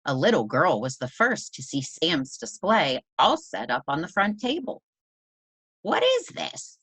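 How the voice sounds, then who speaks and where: A woman reading a children's story aloud as a teacher would, in an expressive, deliberate manner for young listeners. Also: sits low, breathy edge, no echo.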